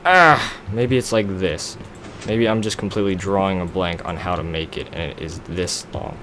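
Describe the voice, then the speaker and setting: Young man, deep voice, rambling self-deprecating gameplay commentary, noisy home mic. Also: measured pace, a bit bright. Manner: rambling, self-deprecating, talks to himself while building. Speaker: let's play gamer.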